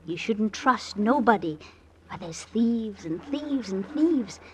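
An actress playing a coy, sly young Victorian London streetwalker in an old radio drama. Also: female voice, on the high side, animated, takes it slowly, dark tone, rough recording. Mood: coy coaxing. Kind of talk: 1950s radio drama acting. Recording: old radio recording with hiss.

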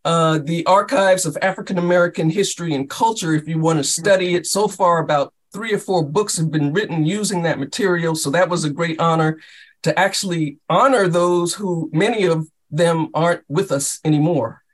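Man, high voice, eager, respectful, panel guest. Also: moderate pace. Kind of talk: panel discussion.

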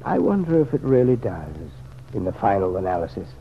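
An actor playing a kindly, thoughtful gentleman with a gravelly, husky voice in an old-time radio serial. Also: fairly low pitch, big pitch swings, brisk, a little hiss or hum. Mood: pondering. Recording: tinny, narrow vintage radio sound.

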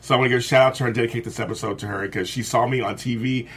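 A man's voice: medium pitch, fairly even intonation, rapid-fire, fairly bright tone, slightly rough. A man co-hosting a casual fan chat, enthusiastic and rambling. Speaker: co-host.

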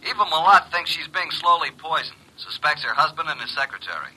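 An actor playing a wry, probing private detective in an old-time radio drama, casual and needling. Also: male voice, on the high side, very animated, brisk, bright, forceful tone, husky, exaggerated voice, poor audio.

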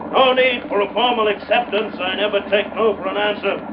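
An actor playing an over-polite, florid gentleman yacht master and genteel villain in 1940s radio drama style. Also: high-pitched, fairly even intonation, fairly quick, natural voice. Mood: suave, insistent. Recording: dull vintage radio recording, noisy background.

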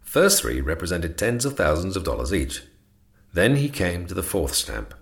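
A man's voice: deep voice, very animated, moderate pace, gravelly, husky, dry recording, studio quality, full character voice. An actor playing a wry, persistent man who narrates his own chase in a radio drama. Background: music.

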